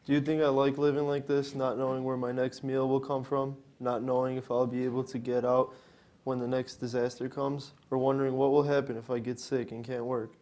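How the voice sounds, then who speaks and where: A man's voice: mid-range voice, flat delivery, fairly quick, a little mellow, close to an everyday voice. A young man performing a scripted readers theater monologue as a student reader. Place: college library event. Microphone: worn microphone.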